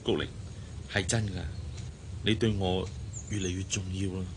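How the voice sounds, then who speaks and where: An actor playing a smitten, insecure boss who talks hesitantly and earnestly in a Hong Kong Cantonese radio drama. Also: male voice, low voice, little pitch movement, normal speed, a little hiss or hum, lightly acted. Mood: anxious, tender. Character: smitten, insecure boss courting his young secretary.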